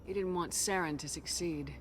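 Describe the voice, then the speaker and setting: A woman playing a steady human military commander in a video game, speaking directly and with assurance. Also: lowish voice, normal speed, slight background noise, natural voice. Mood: direct, probing.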